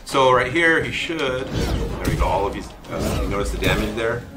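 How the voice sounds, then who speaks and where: A man giving a casual, halting technical demo of a fighting game's moves as a developer. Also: fairly low pitch, very animated, music in the background. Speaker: game developer demonstrating character moves. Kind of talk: casual gameplay demo commentary.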